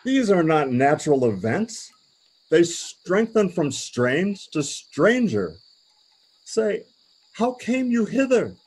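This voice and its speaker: Husky, grave man playing a repentant king. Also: sits high, very animated, a little mellow, exaggerated voice, poor audio. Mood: solemn. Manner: grave, deliberate. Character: grieving, repentant king and father. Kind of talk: Shakespearean radio play acting.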